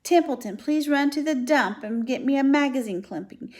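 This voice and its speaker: A woman's voice: mid-range voice, measured pace, natural voice. A woman voicing a lazy, selfish male rat who grumbles and mocks sarcastically. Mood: sarcastic.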